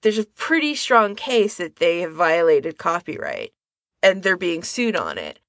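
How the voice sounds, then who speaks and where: A woman's voice: fairly low pitch, sing-song, a little slow, a bit bright, dry recording, studio quality. A woman co-hosting a podcast, explaining things in an informal, conversational way. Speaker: podcast co-host. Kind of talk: casual explanatory podcast chat.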